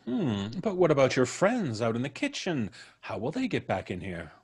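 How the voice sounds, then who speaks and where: An actor playing a polite, probing, quietly menacing visitor in an old-time radio mystery, musing deliberately with frequent hums. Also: male voice, mid-range voice, huge pitch swings, slightly rough, full character voice. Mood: musing, needling.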